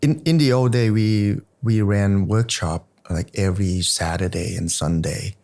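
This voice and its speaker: Middle-aged male guest, very low register, halting delivery full of repeats. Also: creaky, darkish tone. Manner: hesitant, frequent repeats and "uh" fillers. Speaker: type foundry founding partner, guest. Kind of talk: casual podcast conversation.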